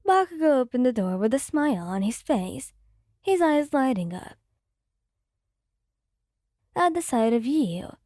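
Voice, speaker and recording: Mellow, soft-spoken woman narrator. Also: high-pitched, sing-song, measured pace, pauses often, no echo, clean studio sound. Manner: soft, gentle storytelling. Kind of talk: ASMR fanfic reading.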